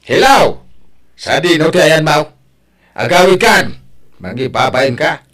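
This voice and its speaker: A man's voice: a little high, lively intonation, unhurried, fairly bright tone. A man playing a stern father in an audio drama, speaking in an emphatic, reproachful way. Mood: angry, demanding. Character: stern, scolding father of a grown daughter.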